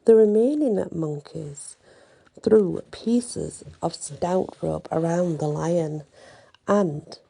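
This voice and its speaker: A woman telling a bedtime story in an ASMR style, with deliberate, dramatic phrasing. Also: fairly low pitch, vocal fry, warm tone.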